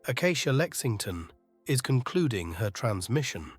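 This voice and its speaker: Mid-pitched man narrating an audio drama. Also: big pitch swings, moderate pace, husky, no echo, soft background music. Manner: literary, atmospheric storytelling.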